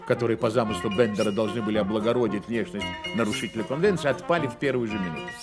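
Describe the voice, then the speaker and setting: An actor playing the wry, theatrical narrator of a satirical radio play, delivering scripted narration expressively. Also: male voice, mid-range voice, natural voice. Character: wry male storyteller of a satirical novel.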